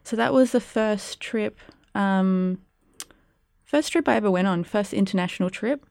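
A woman's voice: mid-range voice, measured pace, gravelly, darkish tone, dry recording, studio quality. A young Australian woman, a guest whose first language was Spanish, answering interview questions in a reflective way with many ums and likes. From Perth, Western Australia. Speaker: guest, arts curator and producer. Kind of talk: casual interview.

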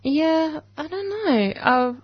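A woman's voice: high voice, lively intonation, slow, no room sound, lo-fi sound. An Australian woman, a guest in a studio, chatting casually and searching for words with many fillers. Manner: hesitant, many fillers and restarts. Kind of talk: casual conversation.

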